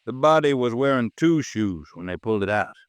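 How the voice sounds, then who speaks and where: An American actor playing a world-weary, sardonic, slangy local police investigator in a 1950s radio drama. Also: male voice, medium pitch, lively intonation, fairly quick, smooth, no room sound, lightly acted. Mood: grimly wry.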